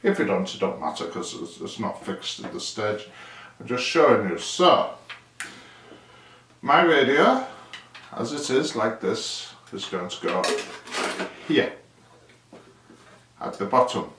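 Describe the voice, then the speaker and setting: A man's voice: fairly low pitch, big pitch swings, slow pace, pauses often, fairly bright tone. A man giving a casual hands-on demonstration, thinking aloud in a rambling, self-deprecating way. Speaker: amateur DIY video maker. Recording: echoey, distant mic with hiss.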